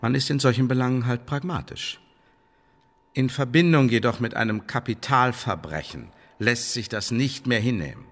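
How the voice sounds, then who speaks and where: An actor playing a mocking, menacing secret service interrogator in a German radio drama, speaking with bureaucratic, sardonic authority. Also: male voice, mid-range voice, normal speed, fairly bright tone. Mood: cold menace. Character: cold, mocking male secret service interrogator presiding over tribunal.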